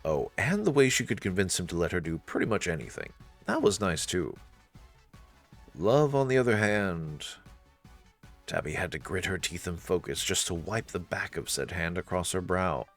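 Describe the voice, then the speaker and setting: A man's voice: low voice, sing-song, moderate pace, fairly bright tone. A man narrating a visual novel in a storytelling style. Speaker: narrator and let's-play reader. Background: faint music.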